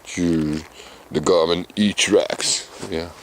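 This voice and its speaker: A deep, somewhat mumbling man with a Dutch Limburg accent talks casually to his camera out in open countryside. His voice sits low, and a faint outdoor hum lies behind it. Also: fairly even intonation, drawn-out words, poor audio. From Limburg, Netherlands. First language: Dutch Limburg dialect. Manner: deep voice, mumbling, chatty with small laughs. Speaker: vlogger. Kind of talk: casual outdoor vlog narration.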